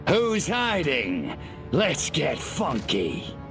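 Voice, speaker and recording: A man's voice: a little high, huge pitch swings, very slow, loud music behind the voice, full character voice. A man voicing a cocky, sardonic alien invader in a video game, with a mocking drawl. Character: cocky sarcastic little alien invader, male.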